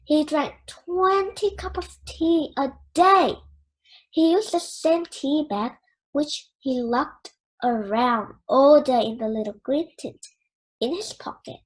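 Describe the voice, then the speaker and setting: A child reading a children's storybook aloud in an expressive storytelling style. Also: high-pitched, slow. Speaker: children's book reader.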